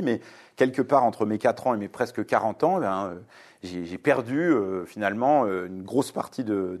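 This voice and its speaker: A man's voice: on the low side, darkish tone. Thoughtful man explaining at length. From Lebanon. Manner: fluent, reasoned, many fillers. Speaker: author guest. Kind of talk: radio interview.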